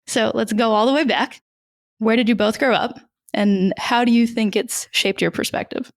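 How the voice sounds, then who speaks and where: A woman's voice: a little high, normal speed, no echo, clean studio sound. A woman hosting an interview podcast, reading an upbeat scripted intro and then asking short questions. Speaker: podcast host.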